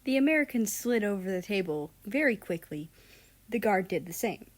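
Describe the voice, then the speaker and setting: A woman's voice: mid-range voice, expressive, moderate pace. A woman reading a fan fiction story aloud as scripted narration.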